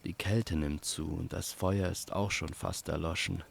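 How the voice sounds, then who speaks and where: A male storyteller narrates a fantasy audio play in a calm, poetic manner. His voice is low and gravelly, and he takes his time over each phrase. Faint ambient noise sits behind him. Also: a little slow. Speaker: storyteller and host.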